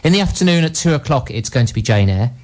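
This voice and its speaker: A man co-hosting a local radio film program while nursing a cold. He talks casually and reads out cinema listings and times, with the odd chuckle. His voice sits in a middling pitch. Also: big pitch swings, quick pace, darkish tone. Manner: chatty, reads out listings with light laughs. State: has a cold. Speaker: radio show co-host.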